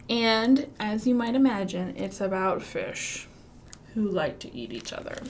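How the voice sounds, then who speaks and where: Slow sing-song Midwestern storytime woman. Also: fairly high pitch, a little hiss or hum. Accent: Midwestern American. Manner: slow, sing-song rhymes with big excited swoops. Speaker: children's librarian. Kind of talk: virtual storytime for young children.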